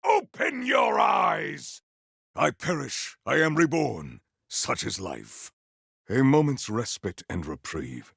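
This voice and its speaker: Booming, raspy warrior with wild pitch swings. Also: male voice, big pitch swings, takes it slowly, fairly bright tone, no room sound, clean studio sound, full character voice. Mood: grave, measured. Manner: theatrical, declamatory, grandiose. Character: fierce honorable cyborg warrior, bombastic and noble. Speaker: video game voice actor. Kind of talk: video game combat barks.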